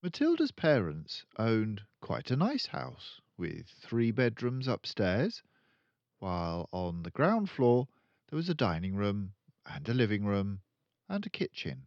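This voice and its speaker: An older man reading a children's book aloud as a storyteller, expressive and deliberate. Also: lowish voice, no echo, highs cut off.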